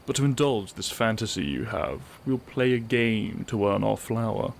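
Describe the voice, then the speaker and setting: An actor playing a brash, possessive warrior prince in a romantic roleplay audio drama, gruff, boastful and taunting. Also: male voice, on the low side, lively intonation, normal speed, slight background noise. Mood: combative. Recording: music under the voice.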